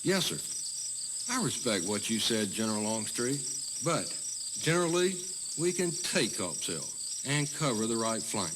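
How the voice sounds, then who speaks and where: An actor playing an eager, respectful Confederate general in a historical audio drama, emphatic but deferential. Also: male voice, fairly low pitch, big pitch swings, a little slow. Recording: noisy tape with insect ambience.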